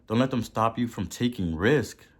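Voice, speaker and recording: A man with a Haitian accent hosting a podcast and giving a motivational talk, preachy, repeating words for emphasis and swelling to loud peaks. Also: medium pitch, moderate pace. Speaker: podcast host.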